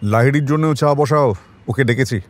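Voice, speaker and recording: A man's voice: mid-range voice, moderate pace, faint music behind, natural voice. A man playing a shrewd, commanding detective in an audio drama, speaking tersely with authority. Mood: calm, decisive. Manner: terse, authoritative, dryly probing.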